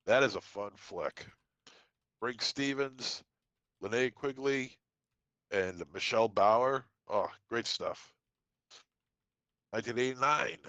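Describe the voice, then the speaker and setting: A man with a head cold co-hosting a podcast, reading dates and film titles with quick offhand asides. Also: medium pitch, a bit bright, dry recording, muffled recording. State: congested from a cold. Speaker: podcast co-host. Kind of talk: casual podcast segment reading trivia.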